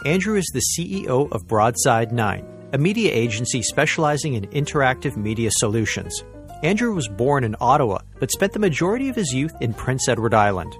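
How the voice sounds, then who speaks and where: Mid-pitched podcast host man. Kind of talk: scripted podcast introduction and interview questions.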